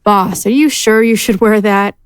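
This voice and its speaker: A woman's voice: mid-range voice, moderate pace, clean tone, lightly acted. A woman playing a gang underling who speaks frankly yet hesitantly to her boss. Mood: doubtful. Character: frank but deferential female gang underling.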